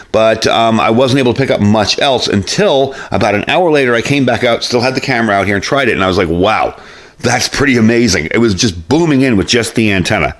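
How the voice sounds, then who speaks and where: A man hosting a casual product review vlog, explaining things in a conversational, folksy way. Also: lowish voice.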